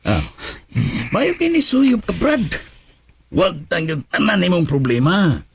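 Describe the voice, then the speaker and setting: A man's voice: high-pitched, huge pitch swings, takes it slowly, creaky, music in the background, heavily acted. A man with a Visayan Filipino accent playing a laid-back, drawling drinking buddy in a radio drama. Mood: egging on. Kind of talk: Bisaya radio drama acting.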